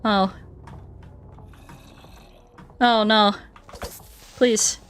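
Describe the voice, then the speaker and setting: A woman doing a casual gaming livestream, rambling playfully and thinking out loud as she chats with viewers. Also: medium pitch, fairly even intonation, drawn-out words, a little hiss or hum. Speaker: streamer playing Minecraft and chatting with viewers.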